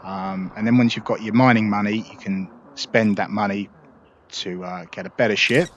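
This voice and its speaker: A man's voice: fairly low pitch, steady tone, moderate pace, muffled recording, slight background noise. A man hosting a casual gameplay tutorial, explaining things in a chatty, upbeat way. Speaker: gaming video host.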